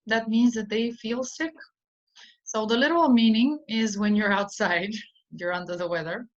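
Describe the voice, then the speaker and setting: A woman's voice: medium pitch, highs cut off. Woman teaching an English idiom. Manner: explanatory, frequent fillers and restarts, reads example sentences aloud. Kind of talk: unscripted idiom lesson for adult learners.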